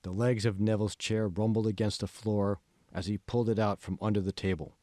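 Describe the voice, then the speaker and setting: A man's voice: lowish voice, little pitch movement, brisk, darkish tone, dry recording, studio quality. A man reading scripted audiobook narration of a novel. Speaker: author reading own audiobook.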